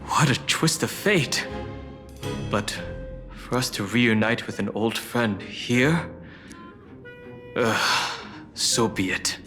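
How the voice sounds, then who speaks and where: Slow-spoken, reserved warrior. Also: male voice, mid-range voice, lively intonation, over music. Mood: cool challenge. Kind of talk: video game voice acting.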